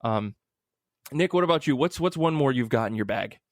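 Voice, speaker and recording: A man hosts a casual hobby podcast in a medium-pitched voice. He talks effusively and with emphasis, dropping swear words freely. The sound is dry, with very little room on it. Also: animated, normal speed, no echo. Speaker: podcast host. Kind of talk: casual chat about comic books.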